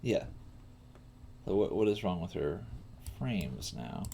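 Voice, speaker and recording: Male coding teacher, highly animated intonation, muttering through problems on a live stream, keyboard clicks and faint noise behind. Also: slow pace, vocal fry. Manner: muttering to himself while troubleshooting, self-questioning.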